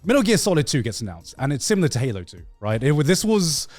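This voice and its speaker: A man chatting casually about video games as a podcast host, telling it in an animated, profane way with halting repeats. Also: fairly high pitch, dry recording, faint music behind. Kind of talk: casual video game chat.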